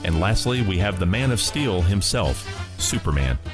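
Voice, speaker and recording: A man hosting a radio program with a scripted, measured introduction. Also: low voice, sing-song, music in the background. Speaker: host of old-time radio rewind.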